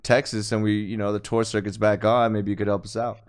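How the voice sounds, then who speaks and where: A man's voice: on the low side, little pitch movement, a little fast, clean tone. A man co-hosting a podcast, easygoing and agreeable, joking along in casual chat. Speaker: podcast co-host.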